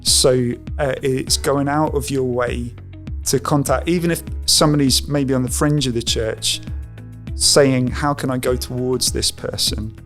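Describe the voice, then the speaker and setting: A pastor talks through his answers in a halting, thoughtful way, often pausing and restarting his sentences. He is a man with a middle-pitched voice, and faint music plays softly behind him. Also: fairly even intonation. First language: English. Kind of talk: conversational interview.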